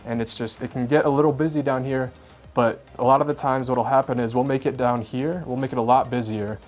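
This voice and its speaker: A man's voice: mid-range voice, fairly even intonation, fairly quick, a little mellow. Casting: young American man, conversational tour guide from air traffic control, plain-spoken and explanatory, music underneath. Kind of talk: informal explanatory tour talk.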